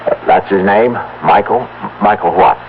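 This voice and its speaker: A plainspoken farmer with a very low voice. He is blunt and pressing, in radio drama style, on a narrow, faintly hissy old recording. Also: moderate pace. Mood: anxious, pressing. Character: worried, doubting farmer husband, blunt and impatient.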